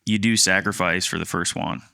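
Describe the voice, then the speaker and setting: A man around thirty co-hosting a podcast, telling a personal story in a casual, halting, rambling way. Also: low-pitched, fairly even intonation, gravelly, bright, forceful tone, dry recording, studio quality. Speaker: podcast co-host, land agent.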